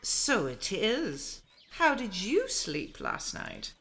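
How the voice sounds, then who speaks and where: An actress playing a stern, suspicious middle-aged mother in a radio play, sharp-tongued and commanding. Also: mid-range voice, huge pitch swings, very slow, slight background noise, heavily acted. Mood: coolly probing. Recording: music under the voice. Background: music soundtrack.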